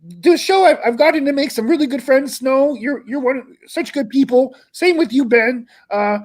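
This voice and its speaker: Performer as frog puppet co-host, very high voice, sentimental, rambling, hard-living braggart. Improvised comedy stream. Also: moderate pace. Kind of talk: improvised in-character livestream chat.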